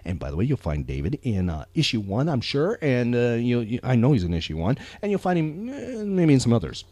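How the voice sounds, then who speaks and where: A man's voice: medium pitch, huge pitch swings, a little fast, warm tone, no room sound. An American man co-hosting a podcast in a casual, emphatic, earnest and rambling way. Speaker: podcast co-host. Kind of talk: casual chat.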